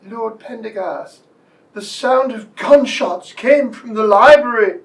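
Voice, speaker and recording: An actor plays a dutiful manor butler in a radio mystery, formal and deferential, with a middling pitch. The voice sits a little way off from the microphone. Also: slow pace, recorded from a distance. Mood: grave, alert. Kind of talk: radio play acting.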